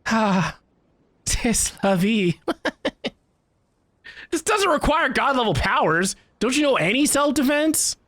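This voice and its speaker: A woman's voice: fairly high pitch, very animated, slow pace, long pauses, fairly bright tone, dry recording, studio quality. A woman giving casual, playful, teasing commentary as she reacts to a video game. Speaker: gaming reaction streamer. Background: faint game music.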